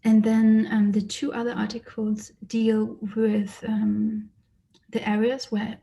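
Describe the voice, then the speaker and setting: Soft-spoken woman artist, flat delivery. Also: on the high side, slow pace, gravelly. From Germany. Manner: hesitant, many fillers and restarts, thoughtful. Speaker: artist giving a lecture. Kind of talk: lecture presentation. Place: lecture or online presentation.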